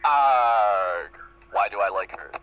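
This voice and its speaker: A man's voice: on the low side, expressive, slow pace, distant mic, dull audio, slight background noise. A man voicing a resentful, boastful female cartoon pony magician with theatrical pomposity.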